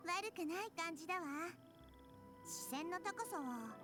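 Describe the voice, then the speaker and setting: A woman voicing a gentle, youthful girl deity in a Japanese game dub. She speaks in a soft, polite, composed way. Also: extremely high pitch, animated, a little fast, music in the background.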